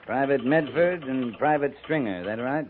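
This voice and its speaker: A man playing a gruff, commanding cavalry captain in a 1950s radio western, speaking tersely and with dry authority. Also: mid-range voice, normal speed, close to an everyday voice. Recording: muffled, noisy 1950s radio broadcast.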